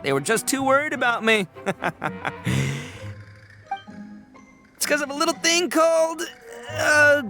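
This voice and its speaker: An actor voicing a brash, boastful but good-hearted young male oni gang boss in a video game, with loud swagger, stretched words and blurted hesitations. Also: extremely high pitch, expressive, brisk, faint music behind, full character voice. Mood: flustered, bluffing. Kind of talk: animated video game voice acting.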